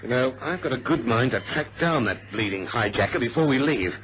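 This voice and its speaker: An actor playing a hot-headed, argumentative airline co-pilot who talks bluntly in a radio drama. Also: male voice, medium pitch, animated, fairly quick, lightly acted. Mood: indignant. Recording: noisy muffled vintage radio drama recording.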